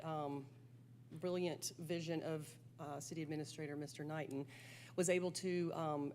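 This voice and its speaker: Low-voiced woman at a council meeting. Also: darkish tone, a little hiss or hum. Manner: formal with casual asides, frequent ums. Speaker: city council member. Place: council chamber.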